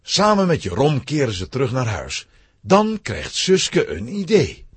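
A man narrating a scripted children's adventure story in an expressive storytelling manner. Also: medium pitch, measured pace, breathy edge, dry recording.

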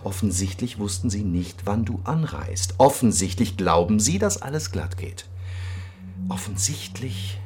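An actor playing a hard-nosed police investigator in a radio crime drama, sardonic, commanding and cutting. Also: male voice, fairly low pitch, very animated, measured pace, a little mellow, slight background noise. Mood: grave, pressing.

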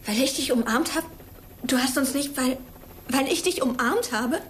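An actress playing a shaken teenage girl in a naturalistic German radio drama, probing with short, halting questions. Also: high voice, a little hiss or hum, natural voice. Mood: stunned, tender.

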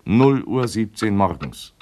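An actor playing an impassive timekeeper in a radio play, making formal, matter-of-fact announcements in clipped phrases. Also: male voice, lowish voice, animated, takes it slowly, a little mellow, a little hiss or hum. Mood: neutral, official. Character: impassive male timekeeper announcing record clock times.

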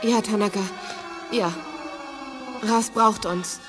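A woman's voice: medium pitch, steady tone, takes it slowly, close to an everyday voice. Audio drama female mutant, actress: exhausted, faint, willing, dragging pace, heavy score over her. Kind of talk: 1980s German radio drama acting. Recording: loud music over voice.

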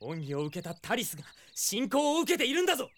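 Bright-voiced, earnest young prince. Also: male voice, high-pitched, wildly swooping pitch, fast talker, full character voice. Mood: determined. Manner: resolute, heroic, impassioned. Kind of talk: Japanese drama CD acting.

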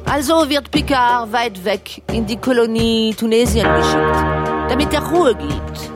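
A woman's voice: on the high side, expressive, unhurried, loud music behind the voice. A woman narrating an audio drama in a scripted, poetic style.

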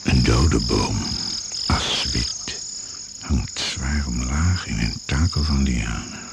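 An actor as the grave narrator of a radio mystery, reading in a measured, poetic, suspenseful manner. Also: male voice, extremely low pitch, heavily acted. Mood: brooding. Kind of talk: scripted radio play narration. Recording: noisy old radio play recording.

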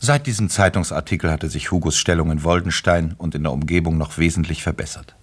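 Deep-voiced male radio play narrator. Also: fast talker, husky. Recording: music behind the voice.

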